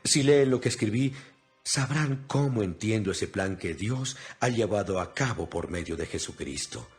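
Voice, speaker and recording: A man's voice: on the low side, animated, moderate pace. A man playing an imprisoned apostle in a dramatized scripture reading, speaking solemnly and earnestly with emphasis. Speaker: Bible narrator. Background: music.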